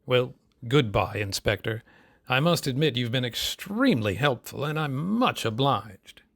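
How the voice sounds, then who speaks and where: An actor playing a gentlemanly impostor, polite, formal and slightly flustered, in old-time radio drama style. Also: male voice, mid-range voice, lively intonation, a little slow, creaky, dry recording, clean studio sound, heavily acted. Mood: polite, hurried. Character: smooth gentlemanly male impostor posing as anxious father.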